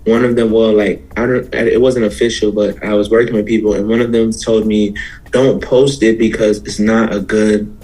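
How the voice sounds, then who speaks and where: An American man from Houston, Texas, giving casual, reflective interview answers as a musician guest, explaining himself in a halting way. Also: lowish voice, a little mellow, low-quality recording. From Houston, Texas, USA.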